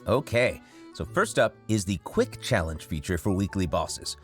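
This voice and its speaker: A man's voice: deep voice, big pitch swings, moderate pace, soft background music. A man co-hosting a game update show in an upbeat, semi-scripted presenting style.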